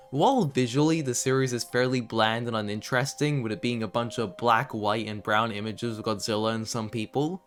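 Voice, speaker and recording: A man narrates a scripted video essay at a middling pitch. He tells the story in a measured way and slips into casual, conversational asides. Music plays behind his voice. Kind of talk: scripted narration, horror series commentary. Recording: background music under voice. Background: background music.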